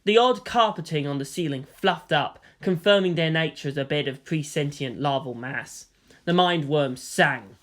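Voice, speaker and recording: A narrator giving a dramatic, expressive reading of scripted fan fiction. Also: mid-range voice, moderate pace. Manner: expressive, theatrical.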